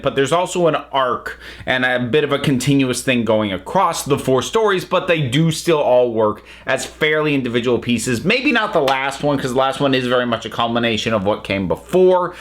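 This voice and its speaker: A man's voice: mid-range voice, a bit bright. A man reviewing audio dramas in a casual, conversational way, thinking aloud and interrupting himself as he goes. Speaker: reviewer, channel host.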